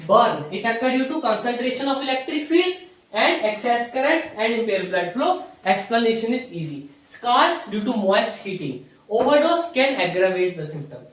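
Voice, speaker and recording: A woman's voice: a little high, big pitch swings, moderate pace. A woman with an Indian accent giving an explanatory physiotherapy lecture in a didactic way, repeating key points with little checking tags. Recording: very echoey room, distant mic.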